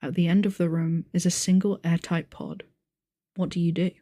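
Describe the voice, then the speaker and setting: Calm game master lady voice actress narrating a space adventure, mellow low-ish voice, super dry close recording. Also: moderate pace, dry recording. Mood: measured. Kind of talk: scripted narration, ASMR audio roleplay.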